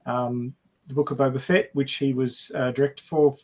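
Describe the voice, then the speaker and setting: A man chatting casually as a podcast panelist, with a hesitant, halting delivery. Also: medium pitch, level tone, a little mellow. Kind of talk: casual chat.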